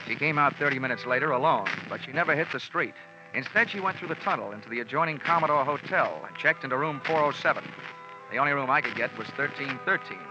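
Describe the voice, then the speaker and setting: An actor narrating as a wry insurance investigator in a 1940s radio drama, with clipped first-person narration. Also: male voice, mid-range voice, quick pace, music in the background, natural voice. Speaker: narrator and lead detective. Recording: lo-fi old radio recording.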